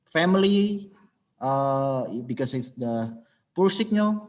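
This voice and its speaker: A man giving a webinar talk as an English trainer, halting and searching for words as he goes over his slides. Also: fairly high pitch. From Indonesia. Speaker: alumni guest speaker, English trainer.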